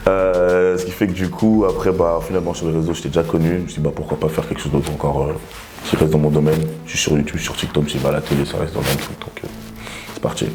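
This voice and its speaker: A young man in his twenties with a very low, deep voice answers interview questions at a brisk clip. He rambles casually, leaning on fillers and restarts as he tells his side of things. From Togo. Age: 20s. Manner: relaxed, rambling, heavy fillers. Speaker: reality TV contestant.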